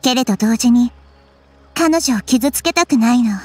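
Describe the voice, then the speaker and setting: A little goddess girl with a high, gentle voice. She is wise beyond her size and speaks in measured, thoughtful phrases, as in an anime game, with music underneath. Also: breathy edge, dry recording. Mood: thoughtful, troubled. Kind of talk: Japanese anime game voice acting. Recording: studio voice over game music.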